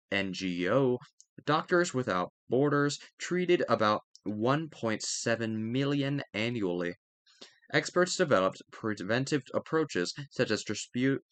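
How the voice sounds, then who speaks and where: A man's voice: mid-range voice, a bit bright, no echo. Man reading aloud, close dry sound. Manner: careful reading with occasional stumbles and self-corrections. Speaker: narrator reading a history textbook aloud. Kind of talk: read-aloud educational narration.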